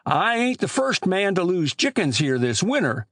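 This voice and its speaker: A man's voice: high voice, sing-song, moderate pace, fairly bright tone, no echo, clean studio sound, pitched above the performer's own voice, heavily acted. A man voicing a folksy old village storekeeper in a storybook, with rustic, ungrammatical country talk. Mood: indignant, determined. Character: folksy old male village storekeeper, aggrieved but generous. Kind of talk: storybook character voice.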